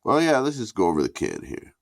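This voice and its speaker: A man's voice: fairly low pitch, wildly swooping pitch, quick pace, creaky, dry recording, clean studio sound. A man with a stuffy, congested voice giving a profane, rambling, laid-back casual review. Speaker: product reviewer.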